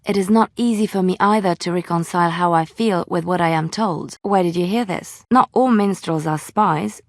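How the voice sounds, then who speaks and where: An actress playing a wistful female bard and former spy in a video game, with a gentle, measured, storytelling way of speaking. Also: on the low side, no echo, clean studio sound, natural voice. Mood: measured, explaining. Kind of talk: video game character dialogue.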